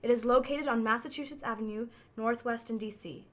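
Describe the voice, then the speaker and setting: Actress as female artificial intelligence, higher-pitched, formal, precise, impersonal, radio play briefing. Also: a little fast, natural voice. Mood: clinical, matter-of-fact. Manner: formal, precise, matter-of-fact delivery. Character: analytical machine intelligence reporting findings, female, precise, impersonal.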